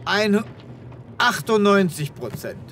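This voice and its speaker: An actor playing a pompous, formal and easily flustered space veterinarian in a comic sci-fi radio play. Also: male voice, high-pitched, sing-song, slow, a bit bright, a little hiss or hum, exaggerated voice. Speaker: lead actor in an audio drama.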